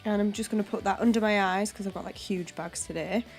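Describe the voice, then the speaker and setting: A woman's voice: medium pitch, fairly even intonation, quick pace, faint music behind. Chesty woman narrating makeup. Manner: casual, chatty, step-by-step explaining. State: chesty with a cold. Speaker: beauty vlogger. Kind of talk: makeup tutorial voiceover. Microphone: home voiceover mic.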